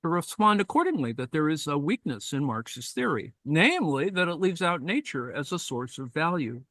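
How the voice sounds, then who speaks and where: A man reading a text aloud in a live-streamed discussion and commenting on it, thoughtful and halting, with many fillers and asides. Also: on the high side, sing-song, a bit bright, no room sound, studio quality.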